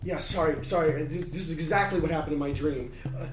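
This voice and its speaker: A man playing a tabletop game, roleplaying casually in a hesitant, halting way. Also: on the high side. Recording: roomy, distant mic, faint noise.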